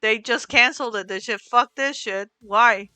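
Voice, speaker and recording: A woman from Ohio co-hosting a casual podcast, animated and swearing a lot. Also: fairly high pitch, normal speed, smooth, dry recording, soft background music. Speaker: podcast co-host. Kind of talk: casual chat. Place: home streaming setup.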